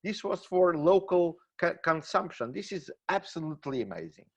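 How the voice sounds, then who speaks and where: A man's voice: fairly high pitch, animated, normal speed. A man with a Portuguese accent giving a webinar lecture, talking with enthusiasm and frequent exclamations. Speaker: gemmology lecturer. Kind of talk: webinar presentation. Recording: dull lo-fi webinar audio.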